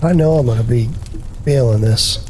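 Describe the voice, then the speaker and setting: A man with a naturally raspy voice that sounds hoarse from a cold. He talks in an easygoing, rambling way and laughs often, with a middle-pitched voice and a little faint noise behind him. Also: normal speed. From Rhode Island, US. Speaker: musician guest. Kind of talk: casual podcast chat.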